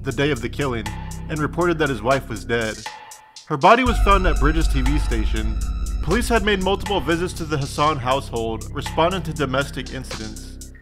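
A man hosting a true crime podcast, reading a scripted narration in a steady, measured way. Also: on the high side. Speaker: podcast host.

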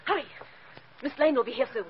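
An actress playing a villain's sly, brisk female accomplice in 1940s radio style. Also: sits high, a crowd in the background, lightly acted. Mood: conspiratorial. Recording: dull, noisy 1940s radio recording.

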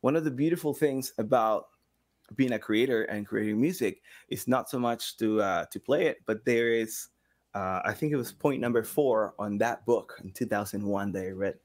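A man in his thirties talking casually as a podcast guest, halting as he searches for words. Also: lowish voice. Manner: halting, searching for words, animated quoted asides. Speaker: guest, sound designer and community engagement director at a game studio. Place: small home studio room, indoors. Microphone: microphone on boom arm.

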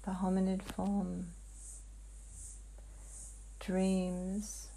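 Woman speaking in a slow, halting trance. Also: medium pitch, monotone, vocal fry, dark tone, rough recording. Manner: halting, fragmented phrases with long pauses. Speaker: client in a hypnotic healing session. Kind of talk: slow trance channeling, answering questions.

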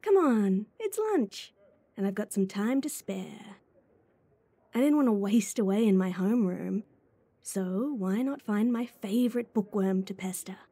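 Woman playing a clingy teasing childhood friend in an asmr roleplay, soft mellow breathy voice, takes her time. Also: medium pitch, lively intonation, a little slow, no echo. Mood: playful teasing. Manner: chatty, teasing, giggly. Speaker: voice actor.

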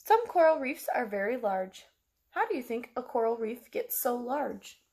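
A woman's voice: on the high side, sing-song, normal speed, a little mellow. A woman, reading a picture book aloud like a teacher, explaining simply to young children and asking them questions. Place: small room at home, indoors.

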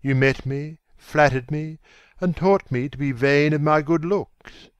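A man voicing a vain, bitter, despairing young gentleman in a theatrical reading of a classic novel. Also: a little high, unhurried, clear, resonant tone, no room sound, pitched above the performer's own voice, close to an everyday voice. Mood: bitter, reproachful.

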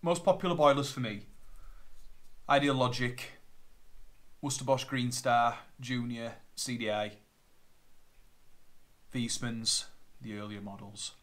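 A man's voice: mid-range voice, steady tone, a little slow, pauses often, a bit bright. A man, a plumber chatting casually as he unpacks and lists boiler parts at home. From Northern England. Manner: chatty, matter-of-fact, rattling off parts. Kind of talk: casual unboxing vlog. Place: living room at home. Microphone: camera on a tripod, seated at a table. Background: plastic bags crinkling.

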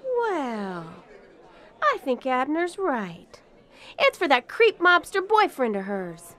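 A woman's voice: high-pitched, huge pitch swings, slow pace, a bit bright, exaggerated voice. An actress playing a dim club woman in a comic radio drama, drawling and parroting what others say. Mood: puzzled. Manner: drawling, echoing others' words. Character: dim, slow-witted club woman who parrots her companion.

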